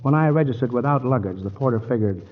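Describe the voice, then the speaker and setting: An actor playing a wisecracking middle-aged lawyer turned amateur detective in a 1940s radio play, breezy and flirtatious. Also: male voice, mid-range voice, fast talker, close to an everyday voice. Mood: wry. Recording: muffled 1940s radio broadcast.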